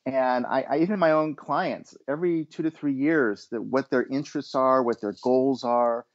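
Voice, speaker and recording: A middle-aged American man from Los Angeles, answering interview questions as a consultant in a measured way with hesitant restarts. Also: medium pitch. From Los Angeles, USA. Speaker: guest, education consultant. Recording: dull lo-fi sound.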